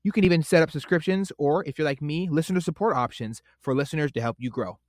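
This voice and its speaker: A man's voice: on the high side, quick pace, no echo, clean studio sound. A man hosting a podcast, reading an upbeat intro and ad before interviewing his guest with emphatic presenter energy. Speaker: podcast host.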